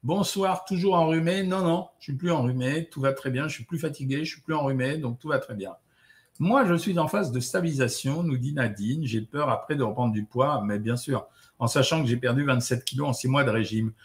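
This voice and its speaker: A man's voice: medium pitch, a little fast. A middle-aged man, a doctor answering viewer questions in a casual, chatty way, with many fillers. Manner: relaxed, chatty, lots of "euh" and "hein". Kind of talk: casual live Q&A, reading out comments.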